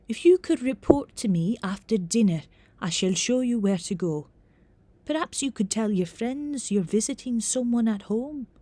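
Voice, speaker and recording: A woman's voice: a little high, warm tone, no room sound, voice pushed up. A woman voicing a stern, formal older female teacher with a reedy Scottish accent in an audiobook. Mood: brisk. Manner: prim, measured, formal.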